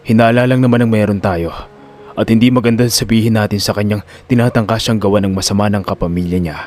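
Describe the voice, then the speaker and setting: A man playing a calm, serious male confidant in a Filipino audio drama, speaking in a measured, reassuring way. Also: fairly low pitch, soft background music, pitched below the performer's own voice, lightly acted. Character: Rocky, calm serious confidant guarding an old don.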